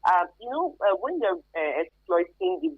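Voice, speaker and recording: A woman's voice: medium pitch, big pitch swings, normal speed, gravelly, husky, no echo. A woman with a Nigerian accent explaining things conversationally as an interview guest, often repeating phrases for emphasis. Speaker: radio guest, anti-trafficking expert. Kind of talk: informal explanatory interview answers. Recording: muffled lo-fi radio sound, dry.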